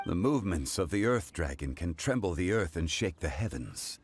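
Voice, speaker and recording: A man voicing an ancient, dignified male god in a video game, speaking in a calm, composed and reflective way. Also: low voice, moderate pace, slight background noise.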